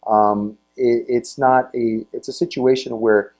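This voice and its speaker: An American man hosting a conversational sports interview show, speaking in a halting way and repeating words as he goes. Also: medium pitch, fairly even intonation, darkish tone.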